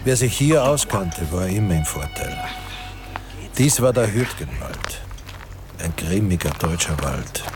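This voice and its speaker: A man's voice: low voice, big pitch swings, a little slow. A man narrating a wartime radio drama in a grave, literary manner. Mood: grave, measured. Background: music.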